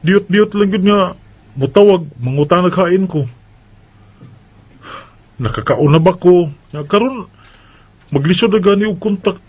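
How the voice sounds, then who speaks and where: Husky, high-voiced heartbroken young man played by an actor. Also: a little slow, pauses often, dull audio. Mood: anxious, puzzled. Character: heartbroken overseas worker, a young man searching for his girlfriend. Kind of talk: radio drama acting and narration.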